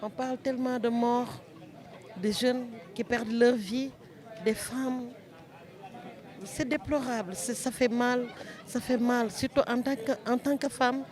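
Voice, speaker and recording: A woman's voice: on the high side, steady tone, a little slow, a little mellow, some chatter behind. A woman with a Senegalese French accent, interviewed outdoors, speaking in a sorrowful, reflective way. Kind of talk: street interview.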